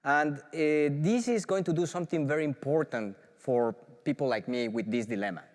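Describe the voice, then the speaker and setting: A man's voice: a little high, moderate pace, a little mellow, big-room sound. A middle-aged man from Mexico with a Mexican Spanish accent, giving an enthusiastic conference talk as a professor, with many "uh"s and restarts. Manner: enthusiastic, filler-heavy with frequent restarts. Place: large auditorium.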